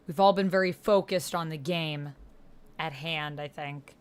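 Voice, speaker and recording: A woman livestreaming a text-based roleplaying game, reading narration aloud and chatting casually with slangy, excitable reactions. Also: sits low, unhurried, smooth.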